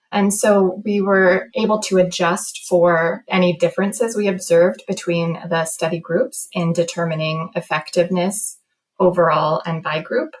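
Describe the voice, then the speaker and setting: A woman researcher explaining study findings in an interview, in a measured and precise way. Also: lowish voice, low-quality recording. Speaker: medical researcher, study author.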